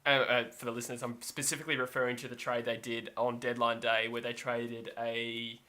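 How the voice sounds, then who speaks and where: A man's voice: medium pitch, level tone, brisk, sharp tone. A man co-hosting a sports podcast, talking in a rambling, self-correcting way and laughing easily. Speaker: podcast co-host. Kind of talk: casual sports chat.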